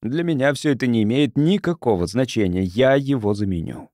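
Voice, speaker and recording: A man's voice: medium pitch, animated, fairly quick, mellow, dry recording, studio quality, natural voice. A man voicing an earnest, insistent male sociologist from Earth in an audiobook. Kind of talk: audiobook character dialogue.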